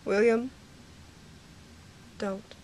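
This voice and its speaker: A woman's voice: mid-range voice, fairly even intonation, drawn-out words, darkish tone, a little hiss or hum. A woman playing a tough, loyal sixteen-year-old girl in an amateur Western audio drama. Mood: tender, grieving.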